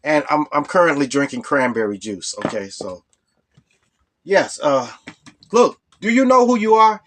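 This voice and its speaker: A hoarse man with a cold telling a rambling personal story as the host of a storytelling channel. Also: a little high, big pitch swings, unhurried, fairly bright tone, slight background noise. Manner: rambling, full of "you know". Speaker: storytelling vlogger. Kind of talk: casual storytelling.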